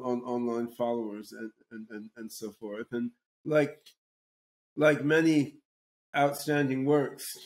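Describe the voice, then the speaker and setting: A man hosting a casual podcast conversation, talking in a halting way with many repeated words and restarts. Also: medium pitch, darkish tone. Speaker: podcast host.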